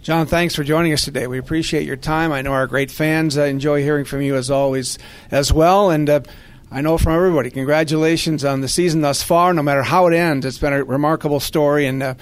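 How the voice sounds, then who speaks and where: Guy hosting a sports radio chat, fairly high voice, earnest and complimentary. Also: steady tone, quick pace, clean tone. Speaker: sports podcast host. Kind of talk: conversational radio sports talk.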